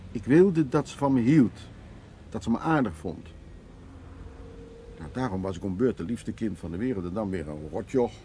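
A man's voice: lowish voice, dark tone, a little hiss or hum, close to an everyday voice. An actor in a naturalistic radio play, playing a brusque middle-aged Dutch art restorer who muses and hesitates over his words. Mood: wistful, pained. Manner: hesitant, repeating words, musing. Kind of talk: naturalistic radio drama acting.

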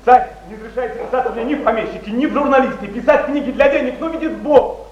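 An ardent poet declaims in a very high, emphatic voice. The delivery is exalted and theatrical, in a muffled, echoey old stage recording. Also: male voice, fast, darkish tone, slight background noise. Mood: worried. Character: ardent Russian poet declaiming his verse.

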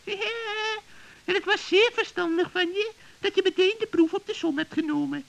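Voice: normal speed, a bit bright, heavily acted. A performer voicing a sly, cackling old witch who teaches magic in a children's radio play, theatrical and instructive. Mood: encouraging, teacherly. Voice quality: creaky, shrill cackle.

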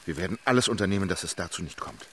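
An actor playing a composed, generous English gentleman traveller in a radio drama, formal, polite and measured in manner. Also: sits low, animated. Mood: gracious.

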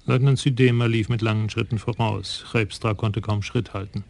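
Flat, gravelly male narrator. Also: on the low side. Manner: measured, even storytelling. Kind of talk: scripted narration of a radio crime drama.

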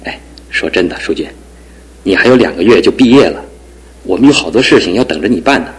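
A man's voice: low-pitched, expressive, fast, rough recording. An actor voicing a good-natured, joking young man who is a bit pampered, in a studio radio drama. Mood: earnest. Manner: jokey and warm-hearted, earnest when serious. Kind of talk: 1960s-70s studio radio drama acting.

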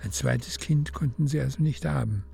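An actor as the calm narrator of a literary radio play, hushed and measured in his telling. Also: medium pitch, creaky, breathy edge, exaggerated voice. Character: calm literary storyteller of a love story.

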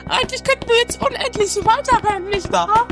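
Very high voice, bickering bossy sister acted by a performer, mocking, theatrical, absurd radio comedy, music behind. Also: quick pace, gravelly. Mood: agitated. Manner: dramatic, mocking, exclaiming. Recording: loud music behind lo-fi 1980s radio play.

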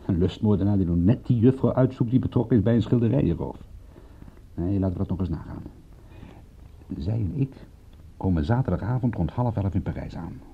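A man playing a cynical, excitable private detective in a radio drama, speaking in a wry, emphatic, husky voice. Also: lowish voice, brisk, long pauses, slight background noise. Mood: reasoning. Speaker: detective and narrator. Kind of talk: radio drama acting and narration. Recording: dull, muffled old radio recording.

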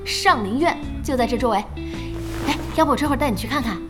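A bossy, outspoken young boss in an audio drama. Her voice is fairly high and very animated, brisk in delivery, with some music behind it. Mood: proud. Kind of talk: Chinese radio drama acting.

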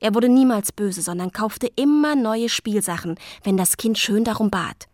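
An actress playing a queen's gentle female companion in a radio drama, speaking in a measured, deliberate storytelling way. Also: medium pitch, no room sound, studio quality, lightly acted. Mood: reflective.

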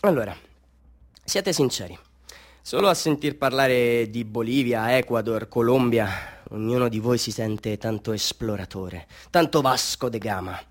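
A man's voice: medium pitch, unhurried, close to an everyday voice. A chatty, self-important street photographer played by a male actor works a group of tourists in a radio play monologue. His mid-range voice moves between pompous sales patter and confiding storytelling, full of rhetorical questions. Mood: breezy sales patter. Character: boastful, chatty small-time Bolivian street photographer hustling tourists.

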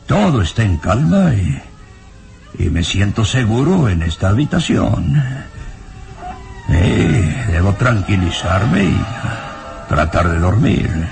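An actor playing a frightened professor in an old radio serial, talking to himself in halting, trembling gasps as he tries to stay calm. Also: lowish voice, gravelly, heavily acted. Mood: agitated. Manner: trembling, halting, gasping self-talk. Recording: radio drama with music underneath.

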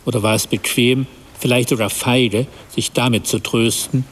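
A man's voice: mid-range voice, steady tone, normal speed, breathy edge, natural voice. Actor as rueful man in long reverb. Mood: rueful, brooding. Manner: reflective, self-reproachful. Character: troubled husband and father confessing his own weakness. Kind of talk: radio play narration. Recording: long reverb, faint background noise.

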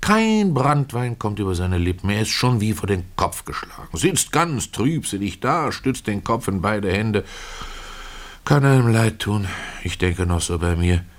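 A man's voice: fairly low pitch, big pitch swings, measured pace. An actor playing a humble, pious Russian tailor who confides his regrets in a folksy, ruminative radio-play storytelling manner. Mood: pitying. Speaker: narrator of a literary radio play. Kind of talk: dramatic first-person storytelling.

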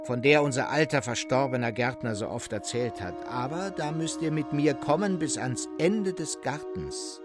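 A man voicing an excitable fourteen-year-old girl given to breathless, frightened exclamations in a literary story reading. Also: medium pitch, moderate pace, hardly any pauses, loud music behind the voice, voice pushed up, natural voice. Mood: playful, excited.

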